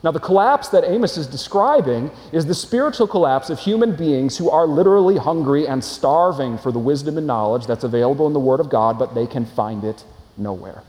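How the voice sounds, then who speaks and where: A man's voice: a little high, expressive, fairly quick, darkish tone. A man preaching a sermon as pastor, earnest and explanatory, asking his congregation rhetorical questions. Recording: long hall reverb.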